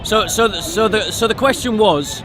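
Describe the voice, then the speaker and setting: A man's voice: sits high, moderate pace. A man debating religion in the open air, insistent and often repeating himself. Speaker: street debater. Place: outdoors in a busy public park. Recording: busy outdoor crowd behind. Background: crowd chatter.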